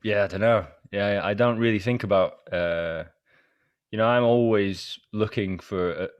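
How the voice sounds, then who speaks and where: Male podcast host from Scotland, low register, loose chatty delivery with tentative, halting phrasing. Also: smooth, muffled recording. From Edinburgh, Scotland. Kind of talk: casual chat.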